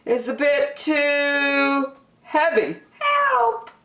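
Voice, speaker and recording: A woman voicing a gentle, earnest young bear cub who longs for a hug, in a childlike, plaintive storybook delivery. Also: high voice, big pitch swings, very slow, reverberant, pitched above the performer's own voice, full character voice. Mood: straining, pleading.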